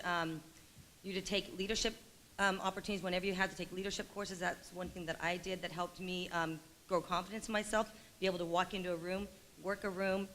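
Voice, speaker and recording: A woman with a somewhat low voice talks quickly, giving steady and encouraging advice on a panel. A faint hiss runs under the recording. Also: low-quality recording. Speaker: industry recruiter, panelist. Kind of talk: panel answer.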